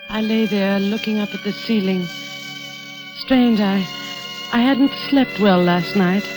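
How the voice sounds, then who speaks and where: An actress playing a worried, suspicious wife who runs a failing ranch, in 1940s radio drama style with narration and questioning dialogue. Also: female voice, medium pitch, slow, natural voice, poor audio. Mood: brooding. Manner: brooding, questioning. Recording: vintage radio broadcast with music.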